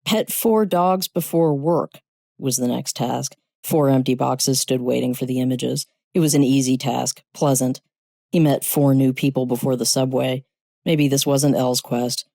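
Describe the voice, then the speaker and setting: A storyteller reads scripted fiction in a dark, mellow voice. The pitch sits in the middle, and the sound is very dry, with no room around it. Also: level tone, moderate pace, vocal fry, no room sound. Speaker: story narrator. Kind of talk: scripted short-story narration.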